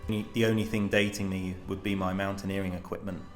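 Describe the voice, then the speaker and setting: A man giving a reflective voice-over narration in a slow, wistful way. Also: low voice, level tone, music in the background. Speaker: vlogger narrating his climb.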